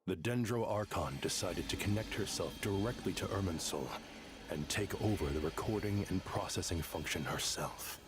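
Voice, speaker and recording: A man's voice: low voice, normal speed, close to an everyday voice. A man voicing a solemn, composed scholarly advisor in a video game, with formal, precise speech. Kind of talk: video game voice acting, dramatic dialogue.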